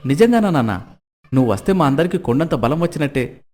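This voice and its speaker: A man voicing a determined young village man, a devoted son in an animated moral story, speaking with earnest resolve. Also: fairly high pitch, fast talker.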